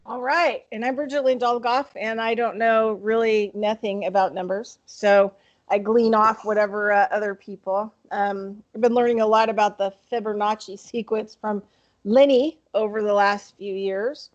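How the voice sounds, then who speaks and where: A woman's voice: mid-range voice, steady tone, normal speed, lo-fi sound. A woman hosting a group video call, chatting casually and rambling with many fillers. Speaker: host.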